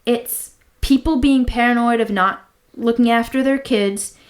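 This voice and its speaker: A woman's voice: on the high side, a little slow. A woman co-hosting a podcast, chatting and telling stories in an informal, slangy way with emphatic repetition. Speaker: podcast co-host. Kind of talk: casual chat, storytelling.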